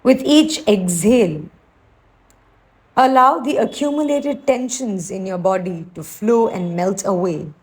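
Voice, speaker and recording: A woman teacher leading a guided meditation, speaking in a soothing, unhurried, gently instructing way. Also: mid-range voice, expressive.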